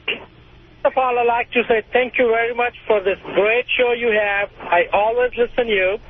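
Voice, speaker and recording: Very high-pitched man on a tinny phone. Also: fairly even intonation, moderate pace. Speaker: radio show caller. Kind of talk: casual personal storytelling. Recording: noisy, tinny phone line.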